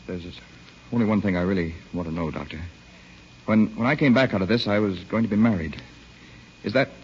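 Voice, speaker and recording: Low-voiced, quick-talking wounded captain played by a man. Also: darkish tone, lightly acted. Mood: resigned, grave. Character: war-wounded army captain, young, bitter, resigned, self-sacrificing. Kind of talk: 1930s-40s radio drama acting. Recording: dull, hissy old radio recording.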